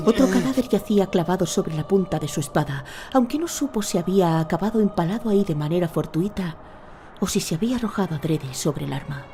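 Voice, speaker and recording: A woman narrating a fantasy audio drama in a scripted, dramatic storytelling style. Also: lowish voice.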